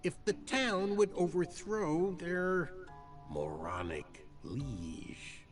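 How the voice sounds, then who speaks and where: An actor playing a theatrical storybook narrator, telling a dark fable in a deliberate, dramatic way. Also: male voice, high-pitched, animated, faint music behind, exaggerated voice. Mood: wry, dramatic. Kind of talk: theatrical scripted fairy-tale narration.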